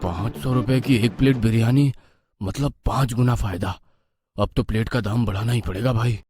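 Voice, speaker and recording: A man's voice: medium pitch, fairly even intonation, normal speed, a little mellow, breathy edge, no room sound, clean studio sound, soft background music, lightly acted. A man voicing a greedy, scheming street food seller in a cartoon moral story, theatrical and full of exclamations. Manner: exclaiming, theatrical, talks to himself. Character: greedy, scheming male biryani seller, wheedling and defensive. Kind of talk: animated Hindi cartoon moral story acting.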